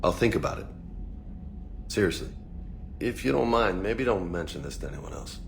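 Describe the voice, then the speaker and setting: An actor playing a casual, easygoing but burdened soldier in a video game, with informal, slangy speech. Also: male voice, low voice, lively intonation, normal speed, creaky, slight background noise. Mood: earnest. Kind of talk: conversational video game acting.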